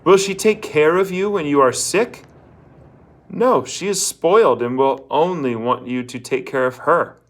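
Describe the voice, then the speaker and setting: A man's voice: on the high side, unhurried, voice pushed up, lightly acted. A man voicing a blunt old woman fortune-teller in a storybook, bossy and emphatic as she hands out scorn and instructions. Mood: scornful. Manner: emphatic, instructing, asks rhetorical questions.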